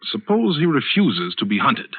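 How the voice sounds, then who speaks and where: Thin phone-like 1940s radio sound, an earnest appalled guest, husky, hesitant, protesting. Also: male voice, medium pitch, sing-song, moderate pace. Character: earnest, incredulous male guest, appalled by his host. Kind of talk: 1940s radio drama acting.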